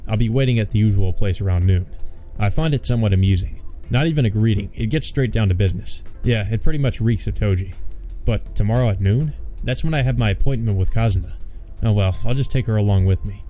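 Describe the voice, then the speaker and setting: A man with a lowish, very mellow voice reads a visual novel aloud. He drops in wry, offhand remarks as he plays, and faint music runs underneath. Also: fast talker, few pauses. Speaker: let's player.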